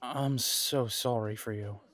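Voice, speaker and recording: A man's voice: mid-range voice, steady tone, slow pace, a bit bright. A man playing a wry, tired and protective male werewolf pack alpha in an audio drama, with dry sarcasm. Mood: dry, sarcastic. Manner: dry, sarcastic, hesitant when weary.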